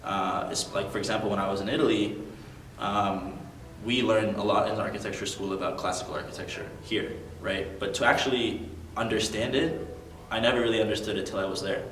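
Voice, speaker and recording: A man's voice: on the low side, fairly even intonation, brisk, fairly bright tone, distant mic, slight background noise. Earnest young man, roomy echo. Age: young adult. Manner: earnest, thoughtful, many ums and likes. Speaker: interview guest. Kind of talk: reflective interview talk.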